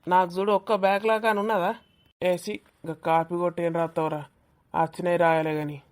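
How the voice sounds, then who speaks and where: A woman's voice: lowish voice, level tone, quick pace, close to an everyday voice. A woman voicing a cheeky, boastful young male student in a cartoon village comedy, teasing in colloquial speech with a Telangana accent. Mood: boastful, mocking.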